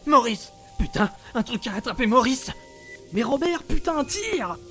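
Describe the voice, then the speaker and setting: A man's voice: very high voice, big pitch swings, a little slow, exaggerated voice. A man playing a surly, foul-mouthed teenage big brother, slangy and dismissive, in an audio drama. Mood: panicked. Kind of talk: French audio drama acting. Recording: music behind the voice.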